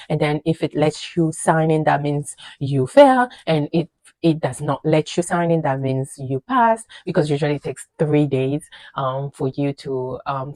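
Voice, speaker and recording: Lively French-native woman telling her story. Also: low-pitched, no echo. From French-speaking country, immigrated to the USA. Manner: animated, emphatic, with frequent fillers and repeated words. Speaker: registered nurse, vlogger. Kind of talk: casual personal storytelling to camera.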